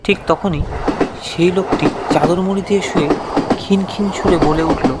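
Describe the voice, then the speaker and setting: Casting: male first-person narrator for horror tale, expressive and suspenseful, slightly high register, heavy background noise. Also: moderate pace. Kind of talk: dramatic scripted horror storytelling.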